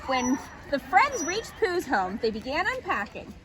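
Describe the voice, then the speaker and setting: A woman reading a children's story aloud as an expressive storytime narrator. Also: high-pitched, a little slow.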